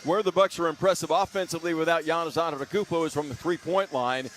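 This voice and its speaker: Male sports radio host, voice a bit high, smooth wordy announcer phrasing, leads into questions. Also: quick pace. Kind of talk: polished sports broadcast commentary and questions.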